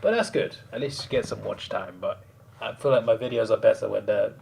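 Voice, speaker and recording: A man chatting casually on a live stream, hoarse, rambling and laughing often. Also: fairly low pitch, a little fast, a little mellow, a little hiss or hum, poor audio.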